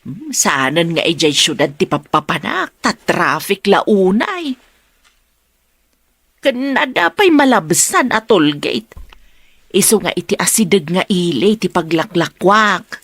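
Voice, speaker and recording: A woman acting a brisk market vendor in an audio drama, with a Filipino Ilocano accent. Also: lowish voice, big pitch swings, fairly bright tone, breathy edge, dry recording, exaggerated voice.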